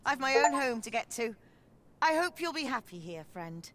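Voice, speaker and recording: A friendly fantasy-game townswoman, chatting familiarly in old-world phrases. Her voice is high and her manner talkative. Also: very animated, moderate pace, fairly bright tone, slight background noise. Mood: cheerful, chatty.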